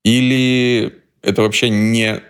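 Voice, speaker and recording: A man co-hosting a podcast, relaxed and asking questions in a casual chat. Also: medium pitch, slow pace, smooth. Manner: relaxed, posing questions to the guest. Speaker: podcast co-host, screenwriter.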